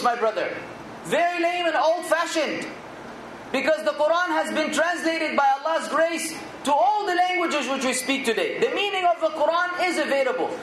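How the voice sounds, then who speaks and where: A man's voice: extremely high pitch, echoey room, noisy background. A man giving an earnest Islamic sermon, exhorting his listeners and now and then rising to emphatic outbursts. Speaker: Islamic preacher.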